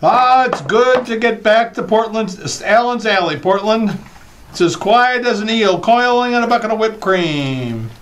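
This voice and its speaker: A man's voice: high voice, animated, measured pace, fairly bright tone, slight background noise. A man playing a wisecracking radio comedy host, quipping in a dry, folksy way in old-time radio style.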